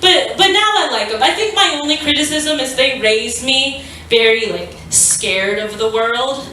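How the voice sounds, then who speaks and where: A woman's voice: sits high, lively intonation, measured pace, pushed and bright, a little hiss or hum. A young adult woman doing a stand-up set, rambling and self-deprecating with lots of filler words and restarts. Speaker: stand-up comedian. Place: small venue stage. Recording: echoey room, mic from a distance.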